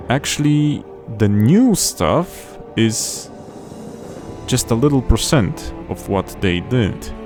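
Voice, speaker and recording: A man's voice: lowish voice, a little mellow. A young man chatting casually as host of a strategy-game playthrough, often restarting his phrases as he explains. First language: Polish. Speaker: gaming channel host. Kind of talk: casual gameplay commentary.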